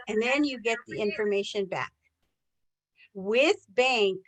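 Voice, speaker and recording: Woman, native English speaker, somewhat high-pitched voice, sales trainer, live webinar presentation, question-led delivery. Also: low-quality recording. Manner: engages listeners with rhetorical questions.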